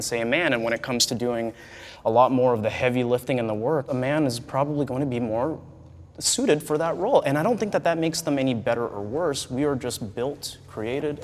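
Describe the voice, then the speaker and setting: A young man weighs in on a debate in an earnest, measured way. His voice sits at an ordinary pitch, and the room adds a long reverb. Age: 20s. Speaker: debate participant. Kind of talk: casual debate.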